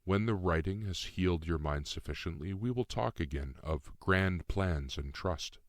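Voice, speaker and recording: Man voicing a deep, measured, cryptic spirit guide. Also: no room sound, studio quality. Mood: earnest. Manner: measured, formal, explanatory. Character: calm, cryptic shape-shifting guide spirit in male form. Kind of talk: audiobook character dialogue.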